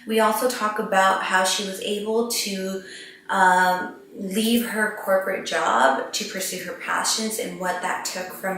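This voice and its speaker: A woman's voice: medium pitch, fairly bright tone, slight background noise. A woman hosting a casual interview show, bubbly and gushing, repeating words for emphasis. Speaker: host. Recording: very echoey, distant from the mic.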